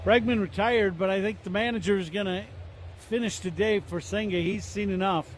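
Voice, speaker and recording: A man's voice: high voice, steady tone, moderate pace, a little hiss or hum. A man giving conversational, analytical color commentary during a radio baseball broadcast.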